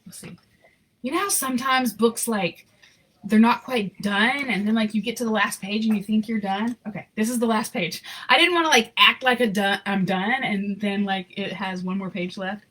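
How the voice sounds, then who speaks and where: A woman reads a children's picture book aloud at a medium pitch. She tells it expressively and without hurry, with a sentimental streak, and slips into casual asides to her listeners. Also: moderate pace, a bit bright, poor audio. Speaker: storybook reader.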